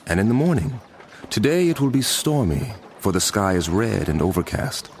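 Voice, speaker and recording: A man's voice: on the low side, lively intonation, normal speed, darkish tone, slight background noise. An actor playing a grave, commanding male teacher in a dramatized scripture recording, speaking with solemn, emphatic delivery. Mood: stern. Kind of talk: dramatized scripture audio acting.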